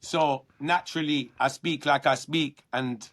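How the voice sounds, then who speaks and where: A man with a London accent, a guest artist talking earnestly through his career in a relaxed interview. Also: on the high side, measured pace. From London, England. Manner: earnest, reflective, many restarts.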